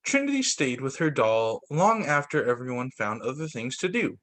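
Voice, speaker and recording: A man reading a children's picture book aloud like a teacher at story time, expressive, with the odd stumble. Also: medium pitch, moderate pace. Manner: expressive, voices the dialogue with slight shifts; stumbles and corrects himself while reading.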